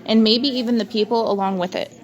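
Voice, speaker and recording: A woman's voice: on the high side, fairly even intonation, fast, lo-fi sound. A woman reading a scripted news report aloud as one of two presenters.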